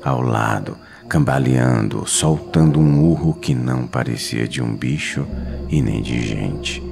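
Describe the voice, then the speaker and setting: An extremely low, gravelly male voice with a Brazilian accent narrates a horror tale. He is solemn and slow, weighting short phrases for suspense over clear background music. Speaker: storyteller. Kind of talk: dramatic scripted horror narration.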